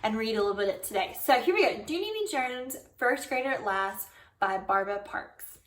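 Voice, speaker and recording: A woman's voice: a little high, animated, normal speed, fairly bright tone. A woman reading a children's book aloud expressively as a storyteller. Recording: roomy echo, distant microphone.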